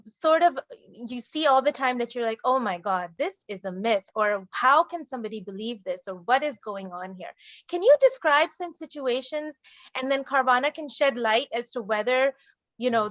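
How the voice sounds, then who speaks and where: A woman's voice: a little high, a bit bright, no room sound, muffled recording. A woman moderating an online panel, introducing guest speakers in a formal but friendly way over a video call. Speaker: moderator and case management director.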